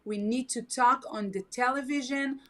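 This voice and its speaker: A woman who grew up speaking Hebrew talks earnestly over a video call. Her voice sits a little high, and her phrasing is halting and non-native. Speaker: guest. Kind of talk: casual video chat.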